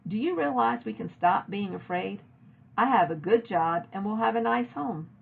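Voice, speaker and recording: A woman's voice: medium pitch, normal speed, vocal fry, slightly rough. A woman voicing a firm, motherly woman speaking to her young daughter in a storybook read-aloud. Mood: celebratory. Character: tense, weary mother of a young girl. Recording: narrow, phone-like lo-fi audio.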